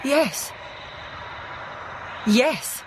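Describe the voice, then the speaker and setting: A woman's voice: sits high, very animated, drawn-out words, background noise, full character voice. An actress playing a bewildered, awed mother in a radio drama, speaking hesitantly.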